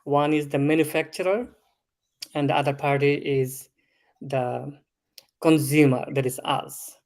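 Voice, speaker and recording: A man from Bangladesh in his thirties, a food safety consultant answering interview questions in a measured, explanatory way with frequent pauses. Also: a little high, fairly even intonation. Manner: slow, measured, explanatory with filler ums.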